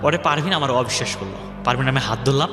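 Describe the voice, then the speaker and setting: A man's voice: mid-range voice, fast talker. A young man telling his life story on a radio show, rambling and acting out what others said. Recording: echoey hall-like reverb, music underneath. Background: background music.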